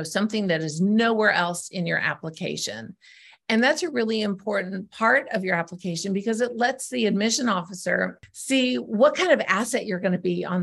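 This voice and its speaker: A woman's voice: fairly low pitch, creaky. A middle-aged woman, a guest on a podcast, explaining her work as an essay coach in an earnest, step-by-step way. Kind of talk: explanatory interview answers.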